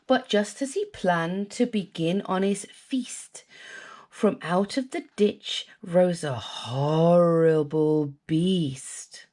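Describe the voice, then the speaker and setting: A woman's voice: fairly low pitch, slow pace, darkish tone. A woman with a British accent reading a children's story expressively, dramatically drawing out words. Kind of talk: expressive scripted storybook narration.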